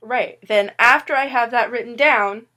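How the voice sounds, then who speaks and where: A woman's voice: a little high, moderate pace, pushed and bright. A woman under the weather walks viewers through how she makes her comics. She is a bit loopy and rambles with self-mocking jokes. Her talk stays casual and friendly while she is fighting off a cough. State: sick with a cough. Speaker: webcomic artist sharing tips. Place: indoors at a wooden table.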